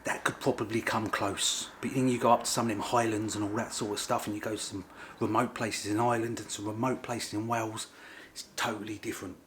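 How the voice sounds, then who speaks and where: A man's voice: lowish voice, flat delivery, fairly quick, a bit bright, a little hiss or hum. A middle-aged man, vlogging in a rambling, casual way and often repeating himself. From England. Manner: rambling, repeats himself, informal working-class grammar. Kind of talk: casual chat to camera.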